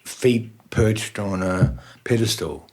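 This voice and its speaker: A man in his seventies with an Australian accent, a guest telling stories in a relaxed interview, restarting sentences and laughing along the way. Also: fairly low pitch, slow. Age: 70s. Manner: chatty storyteller, laughs often, starts over mid-sentence. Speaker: guest musician. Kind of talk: casual interview chat.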